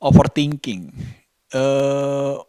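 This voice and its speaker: Male vlogger, mid-pitched and slow, reflective and hesitant delivery, casual monologue, dry sound. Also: dark tone, clear, resonant tone, no room sound. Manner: hesitant, halting, searching for words with repeated phrases. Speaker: vlogger and host.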